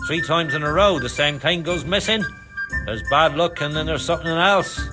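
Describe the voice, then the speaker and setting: An actor playing an exasperated, hard-up medieval church painter in a video game, grumbling wryly. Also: male voice, a little high, moderate pace, natural voice. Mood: puzzled, wry. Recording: music behind the voice.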